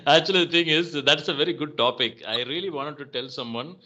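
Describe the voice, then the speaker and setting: A man with an Indian English accent chatting casually on a call, relaxed and full of fillers. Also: fairly high pitch, a little fast, lo-fi sound. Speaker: channel host. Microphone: call audio.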